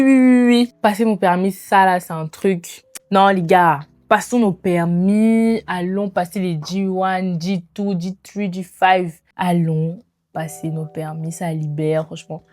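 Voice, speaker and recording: A woman in her twenties chatting casually to viewers as a lifestyle vlogger, in an animated, informal way with slangy phrasing and bits of English mixed in. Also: fairly low pitch, dry recording. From immigrated to Canada. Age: 20s. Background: background music.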